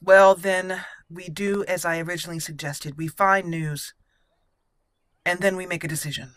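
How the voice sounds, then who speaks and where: A woman hosting a role-play game playthrough, speaking deliberately and theatrically in character, with casual asides. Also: on the low side, steady tone, fairly bright tone, dry recording. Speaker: gaming channel host.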